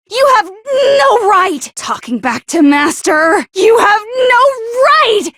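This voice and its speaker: An actress playing a paranoid, lovestruck teenage schoolgirl with a sharp tongue in an anime video game, stammering and accusatory in her dramatic delivery. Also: extremely high pitch, no echo, studio quality, full character voice. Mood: indignant, shrill.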